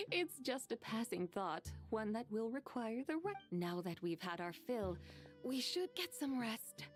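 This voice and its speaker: A woman's voice: fairly high pitch, very animated, a little slow, faint music behind. A woman voicing an ancient female immortal in an anime video game, elegant and whimsical, with lofty archaic phrasing. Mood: lofty, gentle.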